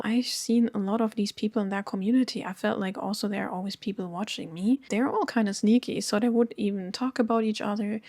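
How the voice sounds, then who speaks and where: A woman in her thirties from Germany, talking earnestly to camera in a personal vlog, with frequent soft ums. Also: medium pitch, gravelly, no room sound. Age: 30s. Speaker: vlogger. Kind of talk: personal storytelling chat to camera.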